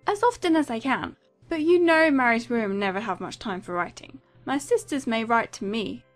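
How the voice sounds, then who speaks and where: Woman as a careless young bride, high pitch, lively intonation, breezy, flippant, audiobook drama. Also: normal speed, faint music behind, natural voice. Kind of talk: dramatized audiobook character acting.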